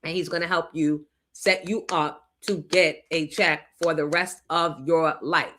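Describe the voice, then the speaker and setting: Woman, stream host, low-ish pitch, bright tone, upbeat and promotional. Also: steady tone, unhurried, slight background noise. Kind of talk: casual live-stream chat.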